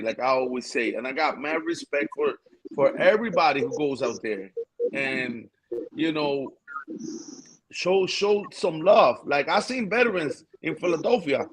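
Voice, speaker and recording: A man speaks up high and fervently as a guest. He presses his points by repeating words and building momentum. It is loose, casual panel talk. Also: expressive, moderate pace, slight background noise, low-quality recording. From Puerto Rico. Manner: passionate, repeats words for emphasis. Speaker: guest, boxer.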